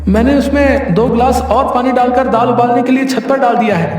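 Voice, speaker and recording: Servile, cheekily crafty cartoon servant male actor, very high voice, heard in a strongly echoing space. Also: steady tone, brisk, a little mellow. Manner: deferential, cheeky. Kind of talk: Hindi cartoon voice acting. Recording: very echoey, distant, faint music behind.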